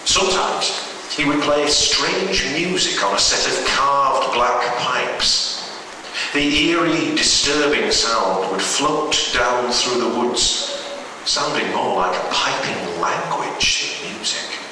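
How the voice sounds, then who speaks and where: A man reading a horror story in the first person, in a measured, halting delivery with pauses. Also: mid-range voice, pushed and bright. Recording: very echoey, distant, noisy hall recording.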